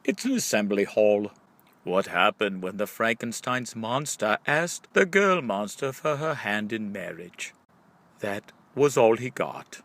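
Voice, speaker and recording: A man's voice: lowish voice, big pitch swings, a bit bright. A man reading a scripted book of riddles, setting up each question and drawing out the punchline. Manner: question-and-answer delivery with drawn-out punchlines. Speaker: audiobook reader.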